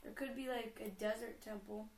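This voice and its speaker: A woman's voice: a little high, steady tone, normal speed, roomy sound, poor audio. A young woman casually commenting on her own game, rambling and giggling as she goes. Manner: chatty, rambling, giggly self-talk. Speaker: gamer. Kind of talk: casual let's play commentary.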